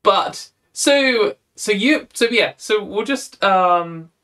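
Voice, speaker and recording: A woman's voice: mid-range voice, expressive, slow, a bit bright. A young woman hosting a casual chat over a video call, talking in a chatty way and laughing often. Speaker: host.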